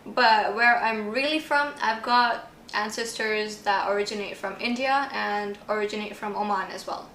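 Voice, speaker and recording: A woman of twenty from Kenya, chatting as a guest in a relaxed, giggly way that often trails off mid-thought. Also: medium pitch, moderate pace. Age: 20s. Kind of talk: casual chat. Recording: echoey room, faint hiss.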